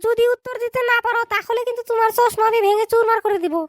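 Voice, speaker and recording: Cartoon teacher, bossy and scolding, very high and dry, voiced by a woman. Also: little pitch movement, rapid-fire, no room sound. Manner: scolding, lecturing. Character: strict scolding female schoolteacher. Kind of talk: cartoon dub acting.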